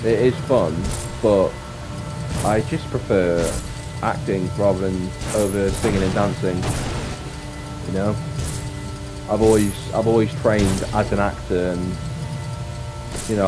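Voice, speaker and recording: A man with a deep voice chatting casually on a livestream, rambling reflectively and often restating his phrases. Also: measured pace, long pauses. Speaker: streamer and actor. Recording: music playing behind the voice. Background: clear background music.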